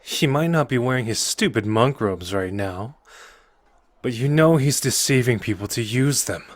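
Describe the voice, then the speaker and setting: A man's voice: medium pitch, expressive, normal speed, no echo, lightly acted. A man playing a cocky, protective sorcerer and sarcastic rival in an anime audio roleplay, informal and sardonic with an earnest core. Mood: scornful.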